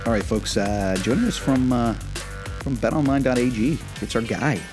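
A man's voice: mid-range voice, normal speed, music in the background. A man hosting a casual sports betting podcast, loose and upbeat, with lots of fillers and restarts. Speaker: podcast host.

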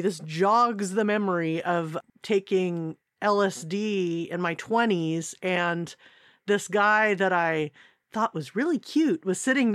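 A woman's voice: lowish voice, dry recording. Female podcast panelist, casual storyteller, dry studio-close sound.

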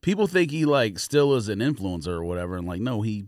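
Lowish-voiced, animated man chatting bluntly. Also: fast talker, dry recording, studio quality. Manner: blunt, dismissive, slangy. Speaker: podcast co-host. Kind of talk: casual chat.

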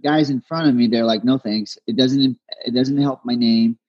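A man whose first language is Aramaic, speaking as a fighter guest in a casual interview, humble and halting with many restarts. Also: medium pitch, fairly even intonation, lo-fi sound.

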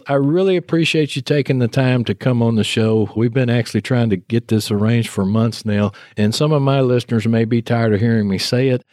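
A man's voice: medium pitch, darkish tone, no echo, clean studio sound. A man with a Texas accent hosting a podcast interview, chatting in a friendly, storytelling way. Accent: Texan. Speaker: podcast host. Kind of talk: casual interview chat.

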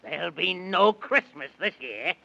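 A man's voice: sits high, lively intonation, unhurried, vocal fry, sharp tone, heavily acted. An actor voicing a wicked, gloating king who chants mocking rhymes in a 1930s children's radio serial. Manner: mocking, sing-song rhymes with cackles. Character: wicked gloating cartoonish king of a goblin realm. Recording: dull vintage 1930s radio recording.

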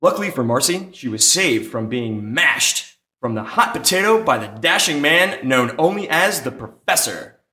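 A man with a bright, lively, swooping voice narrates a spoof radio serial live. He is theatrical and slips into exasperated asides, with noticeable room sound around him. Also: medium pitch, moderate pace, reverberant. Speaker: sketch narrator. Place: comic shop, live before an audience.

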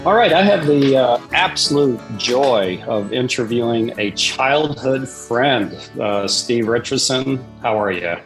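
A man in his sixties, from Papua, hosting a podcast in a relaxed, rambling way with many pauses and restarts. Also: medium pitch, soft background music. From Papua, Indonesia. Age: 60s. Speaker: podcast host. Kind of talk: casual interview chat.

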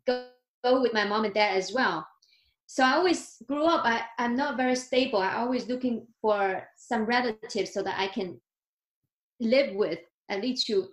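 A woman's voice: a little high, roomy sound, low-quality recording. A woman in her thirties from China with a Chinese accent, speaking as an interview guest over a video call, earnest and grateful, with small grammar slips. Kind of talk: interview, telling her life story.

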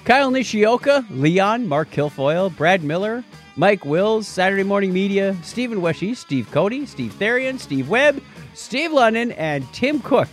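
Animated, jokey male podcast host. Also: high-pitched, unhurried, soft background music. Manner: jokey, playful. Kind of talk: casual chat, listener shout-outs.

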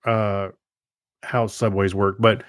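A man co-hosting a podcast in casual chat, talking in a halting, repetitive, folksy and joking way. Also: fairly low pitch, flat delivery, no room sound, studio quality. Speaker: podcast co-host.

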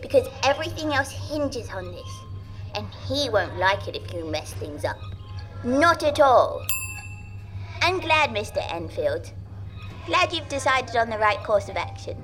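A man's voice: extremely high pitch, big pitch swings, measured pace, pushed and bright, faint music behind, voice pushed up, exaggerated voice, rough recording. A man voicing an eerie little girl who talks in a grave, grown-up serious tone. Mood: solemn. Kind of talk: audiobook character voice.

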